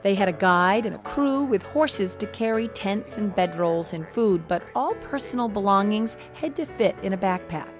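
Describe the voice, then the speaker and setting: An American woman narrates a scripted TV news feature as a reporter. Her pitch sits in the middle range, with music clearly playing behind her. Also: lively intonation, normal speed, hardly any pauses.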